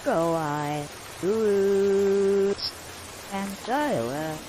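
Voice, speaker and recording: A woman's voice: mid-range voice, drawn-out words, noisy background. A woman playing a battered female android singing an eerie, garbled song in an analog horror video.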